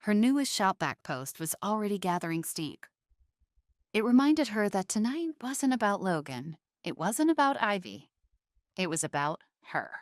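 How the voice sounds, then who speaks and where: A woman's voice: medium pitch, sing-song, moderate pace, no echo, clean studio sound. A woman narrating a scripted drama story in a dramatic style.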